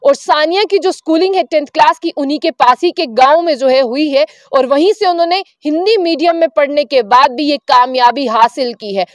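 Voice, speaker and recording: High-voiced young woman reporting news. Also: normal speed, few pauses, no echo, clean studio sound. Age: young adult. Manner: reads from phone, fluent with fillers and self-corrections. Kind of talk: piece to camera news report. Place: outdoors under a tree. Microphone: handheld mic.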